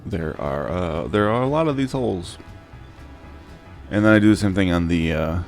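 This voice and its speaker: A man narrating a casual DIY how-to in an informal, halting, hesitant way. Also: low-pitched, animated, a little mellow. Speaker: DIY prop maker and channel host. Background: music.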